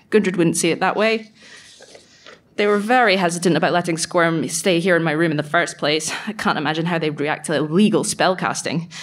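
A woman's voice: mid-range voice, natural voice. A woman playing an anxious young apprentice enchanter, talking through a diary entry in a chatty, sweary way in a live audio drama. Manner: chatty, self-interrupting, sweary. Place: live on stage at a venue.

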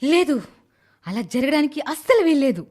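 A woman's voice: high voice, very animated, normal speed, a little mellow. A woman voicing an arrogant, spoiled, short-tempered young daughter-in-law in a dramatised moral story, with a scolding, haughty manner. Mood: distraught.

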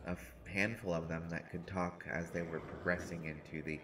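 A man's voice: deep voice, little pitch movement, normal speed, background noise. A man playing a shy, nervous survivor, speaking with constant stammers and restarts in a rambling, self-deprecating way. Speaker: audio roleplay performer. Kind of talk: scripted audio roleplay monologue.

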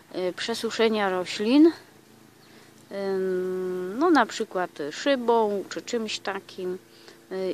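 Slow-talking woman in a garden. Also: mid-range voice, slight background noise. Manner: hesitant, many filler sounds. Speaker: gardening vlogger. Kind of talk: casual explanatory gardening talk. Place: outdoors in a garden.

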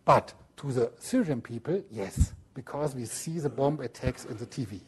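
A man from Germany with a German accent, giving a slide lecture in halting non-native English and often checking in with the room. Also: medium pitch, animated, darkish tone, slightly rough.

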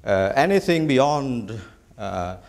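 A middle-aged man from Vietnam whose first language is Vietnamese, a bishop-elect giving measured interview answers in a small office, hesitating often with "uh". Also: mid-range voice, big pitch swings, vocal fry, darkish tone. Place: small office room indoors.

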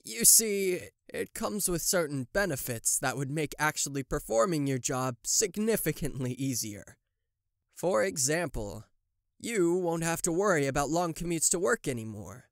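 A man's voice: on the high side, big pitch swings, moderate pace, creaky, no room sound, studio quality, voice pushed up. A man playing a smug, possessive demon boss in an ASMR roleplay, theatrical, slick and falsely polite. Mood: smug, persuasive.

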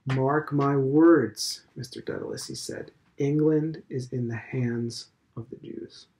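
A man voicing a stern, preachy elderly headmaster who lectures with slow, heavy emphasis. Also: medium pitch, steady tone, darkish tone, lightly acted, poor audio. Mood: grave. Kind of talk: audiobook character reading.

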